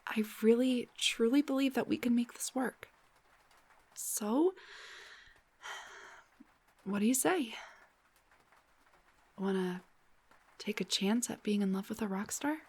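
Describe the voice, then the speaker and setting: Woman acting teasing young band singer girl, higher breathy voice, takes her time, intimate roleplay audio, drops near a whisper. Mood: earnest, tender. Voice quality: soft, breathy, often dropping to a whisper.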